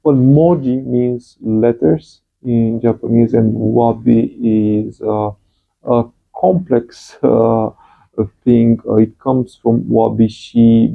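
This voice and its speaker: A Romanian-born man with a Romanian accent, introducing his calligraphy channel in a slow, halting way with many pauses and fillers. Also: medium pitch, flat delivery, gravelly, husky. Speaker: channel host, calligraphy teacher. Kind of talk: hesitant personal introduction. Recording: phone-like narrow sound.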